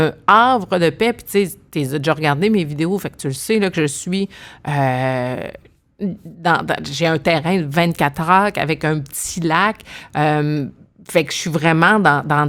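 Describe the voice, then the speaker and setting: A woman in her thirties with a Québécois accent, chatting as a podcast guest and telling animated stories with many restarts. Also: lowish voice. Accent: Québécois French. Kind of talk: casual podcast chat.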